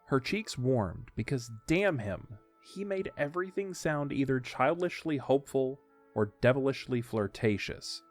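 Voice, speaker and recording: A man's voice: mid-range voice, expressive, a little slow. A man reading scripted narration for an audio drama story. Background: faint music.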